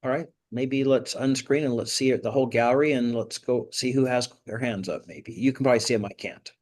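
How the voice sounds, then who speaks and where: A man moderating an online group discussion as a coach, talking conversationally and often repeating words in a halting way. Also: medium pitch, darkish tone. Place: online video call.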